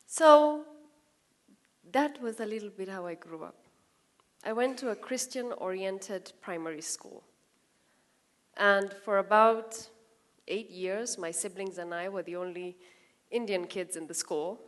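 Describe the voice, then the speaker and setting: A woman in her thirties from Kenya with a Kenyan accent, telling her life story in a lively talk with asides and acted-out quotes. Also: mid-range voice, measured pace, pauses often. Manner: lively, laughing, acts out quoted voices. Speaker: speaker giving a talk.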